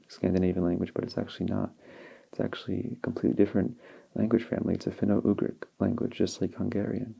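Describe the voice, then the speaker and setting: A young man whose first language is Polish, speaking softly and slowly as an ASMR creator answering viewer questions. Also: fairly low pitch, creaky, breathy edge, lo-fi sound. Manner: slow and relaxed, with small restarts. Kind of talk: soft-spoken ASMR Q&A. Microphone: handheld field recorder.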